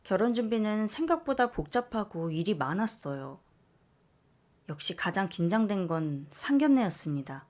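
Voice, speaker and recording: Woman, story reader, first-person narration, calm, even, reading aloud. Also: mid-range voice.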